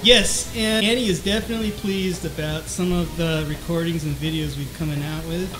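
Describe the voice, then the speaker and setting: A man's voice: fairly high pitch, fairly even intonation, moderate pace, rough recording. Light-voiced self-deprecating man. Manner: hesitant, many restarts. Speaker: podcast co-host, bass player. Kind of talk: casual chat.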